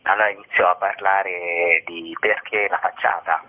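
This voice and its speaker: The audio is narrow and tinny, as over a phone. A man with a low, deep voice speaks informally as he presents a project. His delivery is a little hesitant. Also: fairly even intonation, moderate pace. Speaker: theatre project collaborator presenting.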